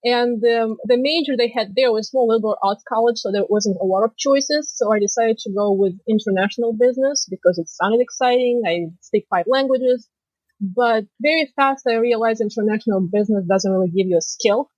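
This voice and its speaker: Woman guest, Russian accent, from Moldova, fairly high voice, dry muffled audio. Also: a little fast, no room sound. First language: Romanian. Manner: lively storytelling, laughs easily. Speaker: guest, financial planner. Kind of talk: casual interview chat.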